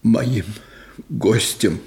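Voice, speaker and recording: An actor playing a proud, indignant French nobleman in a radio play, solemn and deliberate. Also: lowish voice, vocal fry, darkish tone, exaggerated voice. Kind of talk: Russian radio play acting.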